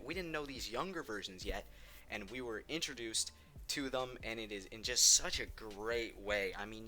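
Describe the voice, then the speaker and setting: A man's voice: lowish voice, bright, forceful tone. A man giving casual, opinionated countdown commentary on video games, enthusiastic and emphatic, repeating words for stress. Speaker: video game ranking host.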